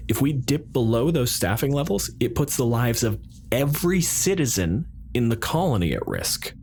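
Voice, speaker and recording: Man as stern bureaucratic president for a sci-fi roleplay; formal, halting delivery, widely swinging intonation. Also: medium pitch, very animated, a little mellow, slight background noise. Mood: firm, unyielding. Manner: deliberate, formal, halting with pointed pauses. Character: measured, bureaucratic male colonial president, coolly authoritative. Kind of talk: improvised roleplay dialogue.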